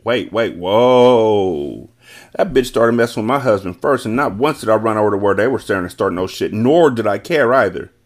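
A man's voice: fairly low pitch, animated, normal speed. A man voicing a furious, foul-mouthed, combative young woman in a story reading. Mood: indignant. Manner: combative, indignant, shouting profanities.

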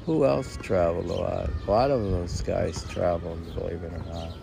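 A man's voice: deep voice, fairly even intonation, moderate pace. A man reading his own verse and rambling in spontaneous commentary, musing aloud and answering his own questions. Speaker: poet and podcast host. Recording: clearly noisy background.

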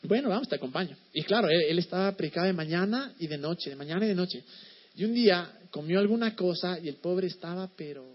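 A man speaking from a church stage, introducing a guest speaker and telling a lively, conversational story about him. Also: sits high, fast talker. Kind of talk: church announcement and anecdote. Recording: dull lo-fi sound.

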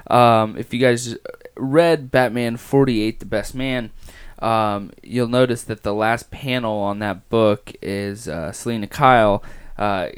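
A man with a raspy voice hosting a casual comic book podcast, talking with many pauses, fillers and restarts. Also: on the low side, fairly even intonation.

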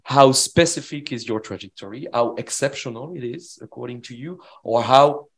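Mid-pitched male moderator, halting, feels his way through each question. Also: husky. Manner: halting, searching for words between two languages. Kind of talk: asking questions at a public talk.

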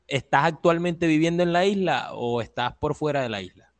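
A man's voice: on the high side, normal speed, no echo. A man hosting an interview, speaking politely and wordily and repeating his phrases. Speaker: interview host.

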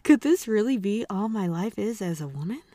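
A woman's voice: mid-range voice, animated, a little fast, dark tone, no echo, clean studio sound, lightly acted. A woman voicing a wistful, easily flustered married former magical-girl warrior in an amateur manga dub.

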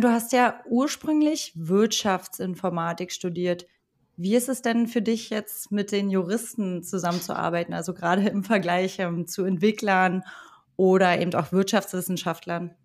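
A woman's voice: mid-range voice, fairly even intonation, normal speed. A woman hosting a podcast, reading a careful introduction and asking questions with an occasional filler. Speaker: podcast host.

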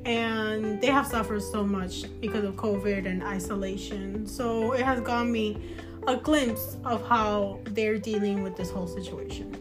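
A young woman from Cuba, a guest on a casual podcast, relaxed and giggly, with frequent hesitations. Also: medium pitch, a little slow. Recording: guitar music in background.

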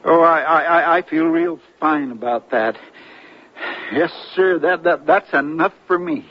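An actor playing an excitable, boastful CB radio yarn-spinner with a stammering, folksy, eager manner in a 1970s radio drama. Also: male voice, on the high side, a little slow. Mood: humbled. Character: excitable, boastful male CB radio tall-tale teller. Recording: dull, noisy 1970s radio recording.

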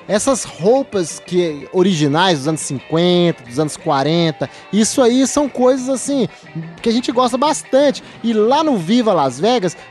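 A Brazilian man co-hosting a podcast, chatting casually and telling jokey, animated stories. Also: sits high. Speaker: podcast co-host. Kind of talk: casual chat.

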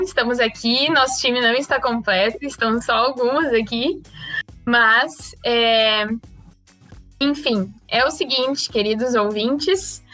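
A 19-year-old woman with a southern Brazilian gaúcho accent hosting a casual podcast, talkative and loud. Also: on the high side. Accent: southern Brazilian gaúcho Portuguese. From Rio Grande do Sul, Brazil. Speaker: podcast host.

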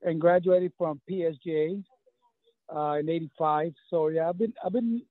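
South Texas man, halting, tinny line. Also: on the high side, dry recording. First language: Spanish. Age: 50s. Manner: halting, many restarts and fillers. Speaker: guest, running coach. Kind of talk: casual interview.

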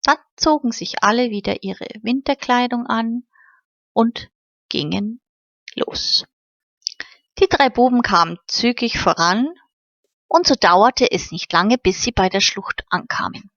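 A woman narrating a children's audiobook story in a calm, measured way into a close mic. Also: a little high, no room sound, muffled recording. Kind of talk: audiobook fairy-tale narration.

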